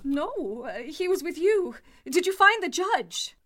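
A woman's voice: very high voice, very animated, takes it slowly, a bit bright, exaggerated voice. An actress playing an anxious young woman in the style of an old-time radio thriller. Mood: worried.

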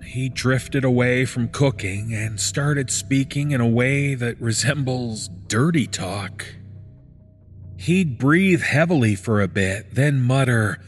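A man reading a scripted first-person horror story in a measured, deliberate storytelling manner. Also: mid-range voice. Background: background music.

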